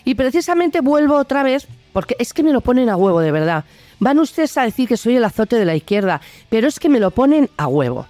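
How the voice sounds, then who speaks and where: A woman's voice: on the high side, very animated, fairly quick, darkish tone, no echo, soft background music. A woman with a Spanish accent from Spain delivers an opinionated political monologue in a blunt, indignant, colloquial way. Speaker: host of a political opinion video show.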